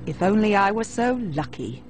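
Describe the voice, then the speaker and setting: A woman's voice: mid-range voice, very animated, normal speed, darkish tone, a little hiss or hum, lightly acted. An actress playing a skeptical captive woman with a dry, challenging manner in a video game cutscene. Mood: sardonic. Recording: music behind the voice. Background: game soundtrack music.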